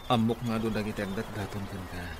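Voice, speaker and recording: A man's voice: on the low side, level tone, quick pace, lightly acted. An actor playing an earnest, sentimental young man in love, with an Ilocano Filipino accent, in a radio drama. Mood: earnest, pleading. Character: lovestruck, earnest young man courting a woman. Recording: noisy radio drama track with ambience.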